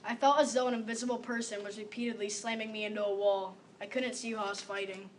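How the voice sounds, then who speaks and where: A woman's voice: medium pitch, steady tone, fast, a little hiss or hum, poor audio. A seventh-grade girl performing a scripted readers theater monologue. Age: about 12 to 13, seventh grader.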